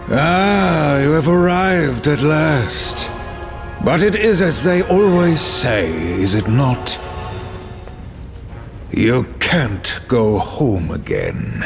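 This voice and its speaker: An actor playing a smug, power-hungry usurper in a video game, gloating in a theatrical, measured way. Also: male voice, a little high, expressive, creaky, heavily acted. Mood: smug, mocking. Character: power-hungry male mastermind villain, smug, grandiose usurper. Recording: music behind the voice.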